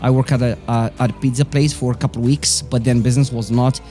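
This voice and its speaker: A man's voice: medium pitch, steady tone, fast talker, mellow, soft background music. A man in his forties with a Moroccan accent, a native Arabic and French speaker, casually telling personal stories as an interview guest. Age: 40s. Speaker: interview guest, business owner.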